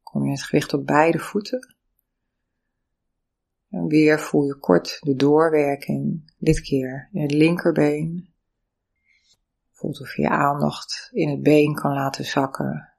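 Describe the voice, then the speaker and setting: A meditation teacher giving calm, soothing, step-by-step guidance through breathing and body awareness. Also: mid-range voice, slow pace, vocal fry, long pauses. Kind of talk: guided meditation instruction.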